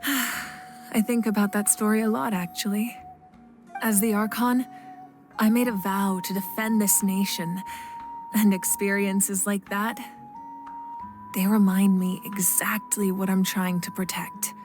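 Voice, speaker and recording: A woman plays a noble young warrior queen from a video game, composed and kindly. Her medium-pitched voice is measured, gentle and dignified, with faint music underneath. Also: little pitch movement, natural voice. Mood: fond, reflective. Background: faint background music.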